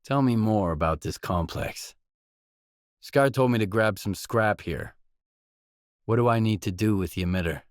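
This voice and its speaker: A man's voice: fairly low pitch, normal speed, pauses often, no room sound, studio quality, lightly acted. A man voicing a wary, blunt male loner in a video game, speaking in terse, matter-of-fact lines and questions. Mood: guarded, businesslike.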